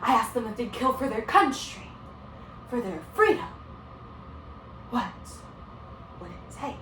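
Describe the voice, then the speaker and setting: A woman playing an earnest, exasperated female teacher in a solo dramatic performance, speaking in a measured, stern and restrained way. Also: a little high, big pitch swings, fairly bright tone, roomy sound, far from the mic, slight background noise. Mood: reflective.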